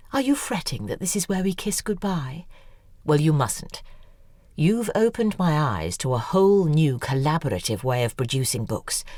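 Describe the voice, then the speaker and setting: A self-obsessed woman novelist in an audio drama, with a low, highly animated voice. She is worldly and persuasive, speaking in theatrical, articulate flourishes. The sound is dry and close. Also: no room sound. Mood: coaxing, effusive.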